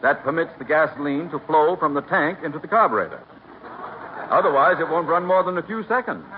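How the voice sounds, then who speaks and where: Man playing a lively, dignified radio mayor. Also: fairly high pitch, fairly quick, close to an everyday voice. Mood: puzzled. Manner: formal, articulate, confident. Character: self-assured, dignified small-town mayor. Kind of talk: 1940s radio comedy acting. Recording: dull, noisy 1940s radio broadcast.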